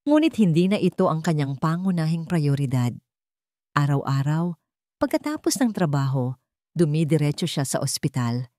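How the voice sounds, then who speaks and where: A Filipino woman narrates a scripted love story in a dramatic style. Her voice sits low and moves with lively, animated melody. The sound is dry and close. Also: moderate pace, dry recording.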